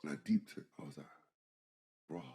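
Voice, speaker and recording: A man, co-hosting a casual podcast chat, animated, often saying "bro" and "like" and restarting his sentences. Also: normal speed. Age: 20s. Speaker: podcast co-host.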